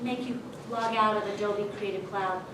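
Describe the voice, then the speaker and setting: A woman's voice: medium pitch, fairly even intonation, moderate pace, background noise. Woman explaining things in a very echoey room. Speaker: staff trainer answering questions. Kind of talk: informal explanation to colleagues. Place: large room at a school faculty meeting. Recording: very echoey room, distant mic, audience murmur.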